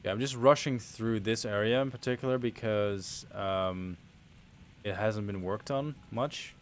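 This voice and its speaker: Dutch male streamer, low voice, laid-back rambling commentary, faint hiss behind. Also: a little slow. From Netherlands. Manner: relaxed, rambling, chatting to viewers while playing. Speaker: game streamer. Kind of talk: casual livestream commentary.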